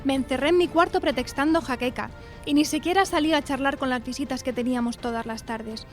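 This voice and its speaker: Actress as high-voiced melodramatic young single lady. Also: a little fast, soft background music, lightly acted. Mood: tragic, solemn. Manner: melodramatic, confiding, coquettish. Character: romantic, self-dramatising young single woman of the 1890s. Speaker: narrator and lead of a radio play. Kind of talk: theatrical first-person radio drama monologue.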